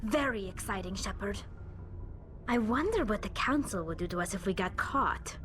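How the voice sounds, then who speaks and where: Woman playing a wry young alien, mid-pitched. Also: expressive, moderate pace, a bit bright, soft background music, natural voice. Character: wry young female alien crew member. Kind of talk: video game cutscene acting.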